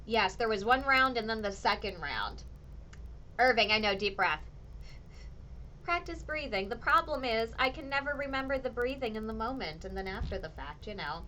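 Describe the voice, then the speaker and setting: A woman meteorologist with a slightly hoarse voice, chatting casually with viewers on her weather show. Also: on the high side, normal speed, slight background noise, poor audio. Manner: animated, ranting, chatty with viewers. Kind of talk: casual livestream chat answering viewers.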